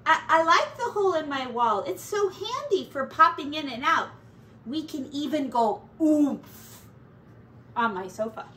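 Woman voicing a high-pitched, panicky little storybook mouse. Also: big pitch swings, unhurried, sharp tone, heavily acted. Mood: eager. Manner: frantic, stammering, exclaiming. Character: small panicky, excitable male mouse. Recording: roomy, distant mic, faint noise.

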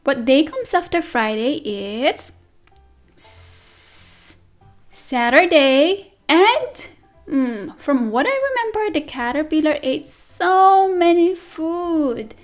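High-voiced preschool teacher lady, slow and singsongy, cheering the kids on, faint music under it. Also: lively intonation. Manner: slow, sing-song and encouraging, with gasps of praise. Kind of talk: circle-time lesson for toddlers.

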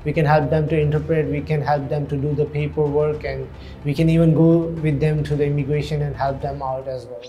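Earnest man, raised pitch, flat delivery. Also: highs cut off. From Hong Kong. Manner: earnest, halting with frequent uh fillers. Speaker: youth program coordinator. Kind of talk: documentary interview. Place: indoor community centre room.

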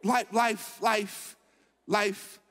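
A man in his forties preaching a sermon as pastor, shifting from loud shouting to quiet reflection and repeating phrases for emphasis. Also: high voice, steady tone, drawn-out words. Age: 40s. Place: large church sanctuary. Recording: long reverb of a large church.

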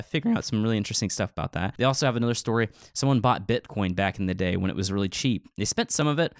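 A man's voice: medium pitch, dry recording, studio quality. A man co-hosting a podcast in casual chat, telling stories in an informal, filler-heavy way. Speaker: podcast co-host.

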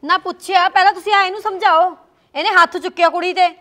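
A woman's voice: sits high, fairly quick, fairly bright tone. A woman playing a worried middle-aged mother who scolds and coaxes her family. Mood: arguing. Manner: scolding then coaxing, motherly. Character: worried middle-aged mother caught between son and daughter-in-law.

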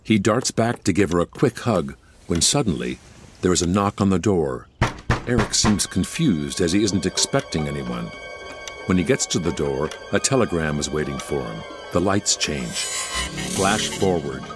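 A deep-voiced narrator delivers scripted stage directions for an audio theatre drama. His dramatic storytelling sits over clearly audible music. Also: expressive, moderate pace. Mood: solemn, suspenseful. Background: music behind the narration.

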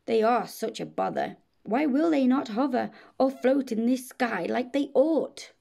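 A woman's voice: fairly high pitch, normal speed, mellow. A woman voicing a fussy, dreamy male dragon in a storybook, with a singsong, exclaiming delivery. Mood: flustered, bothered.

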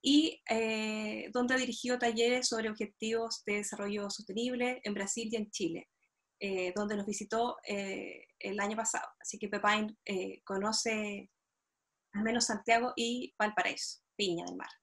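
A woman moderating an online panel and introducing guests, halting as she searches for words. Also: medium pitch, steady tone. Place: indoors, online video call. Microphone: video call microphone.

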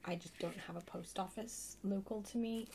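A soft-spoken woman chatting casually in a rambling way for an ASMR haul video. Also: mid-range voice, fairly even intonation, moderate pace. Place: indoors at a table, hands-only camera. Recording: clear background hiss or noise.

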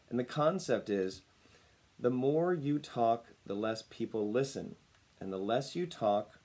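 A man in his thirties speaks to a desk webcam from a room at home, his voice still a little raspy after losing it. He talks in an upbeat, smiling way and chuckles often. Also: medium pitch, a little mellow. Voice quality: slightly raspy. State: recovering from lost voice. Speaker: personal branding speaker. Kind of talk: casual talk to camera. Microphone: webcam at desk distance.

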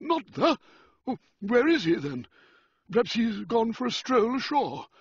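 A man's voice: very high-pitched, big pitch swings, a little slow, husky, no room sound, lo-fi sound, voice pushed up, heavily acted. A man voicing a fussy, flustered old yacht owner who stammers over his words. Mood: fussing.

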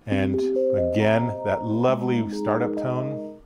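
A man's voice: on the low side, fairly even intonation, slow, over loud music. A man in his fifties with a North American accent, giving a casual, unscripted product review in a chatty, upbeat, approving way.

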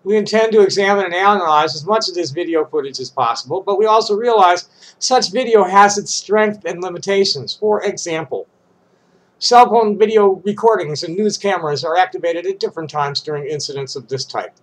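A man's voice: high-pitched, brisk, rough recording. An older man with a congested voice, reading a statement aloud and adding casual asides. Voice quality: congested, stuffy. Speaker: vlogger. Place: small cluttered room indoors.

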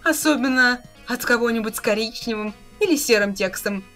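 A scheming young villainess acted by a woman in a webcomic dub. Her voice is on the high side, with soft music behind it. She speaks with smug, haughty condescension and theatrical flair. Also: fairly quick, natural voice. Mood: condescending. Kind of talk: dramatic reading of a webcomic chat.

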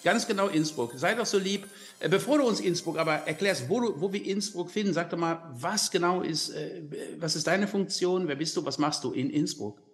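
A man's voice: high voice, brisk, a bit bright, reverberant, soft background music. A German man hosting a podcast interview, jolly and playful, joking with his guest over a remote call. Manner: jolly, playful wordplay, many fillers. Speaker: podcast host.

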